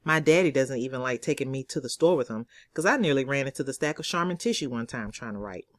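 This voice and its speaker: A woman reading her own novel aloud as expressive first-person narration, in a conversational, sassy storytelling manner. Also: rumbling low, fast, dry recording, studio quality.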